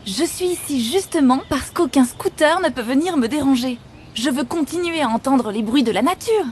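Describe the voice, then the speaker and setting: A woman's voice: sits high, animated, moderate pace, a little mellow, slight background noise. A woman voicing a self-assured, sceptical young woman in a cartoon, with a dry, teasing manner. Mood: annoyed. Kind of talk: cartoon voice acting.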